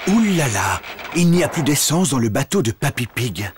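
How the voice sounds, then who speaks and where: A man narrating a children's cartoon in a calm, friendly scripted style. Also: mid-range voice, moderate pace, noisy background. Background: cartoon music.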